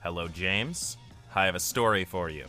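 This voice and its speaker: Low, bright-voiced male podcast host. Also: moderate pace, soft background music. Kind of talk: mock-solemn scripted podcast introduction.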